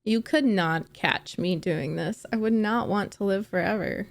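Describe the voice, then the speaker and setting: A woman's voice: mid-range voice, measured pace, creaky, over music. A young woman hosting a reaction video, chatting casually, giggling and musing aloud.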